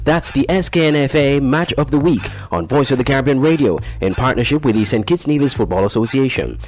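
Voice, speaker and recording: A man's voice: mid-range voice, a little hiss or hum. A man reading scripted promos and ads as an announcer. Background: music.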